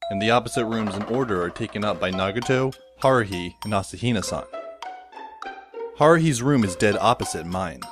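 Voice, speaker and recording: A man playing a wry, resigned teenage schoolboy who narrates an audio drama in a deadpan manner. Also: on the low side, lightly acted. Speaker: narrator and lead character. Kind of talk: first-person scripted audio drama narration. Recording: music behind the voice. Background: instrumental music.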